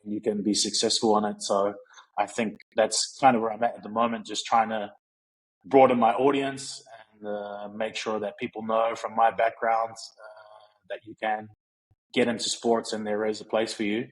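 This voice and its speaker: Kiwi guy in his 30s, husky lowish voice, rambly and reflective with lots of ums. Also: level tone, normal speed, poor audio. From New Zealand. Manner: rambling, reflective, many fillers and restarts. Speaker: guest, strength and conditioning coach. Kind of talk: casual interview talk.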